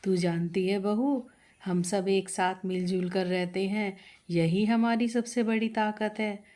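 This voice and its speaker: A woman's voice: medium pitch, steady tone, slow pace, mellow, voice pushed down, natural voice. A woman voicing an older mother-in-law, the instructive matriarch of a big family in a story. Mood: proud, welcoming.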